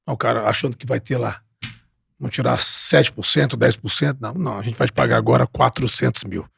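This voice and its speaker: A man's voice: medium pitch, fairly quick, gravelly, fairly bright tone, slight background noise. A middle-aged man with a Brazilian Portuguese accent hosting a podcast, asking questions in a teasing, theatrical way. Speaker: podcast host. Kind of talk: podcast interview, playful job game.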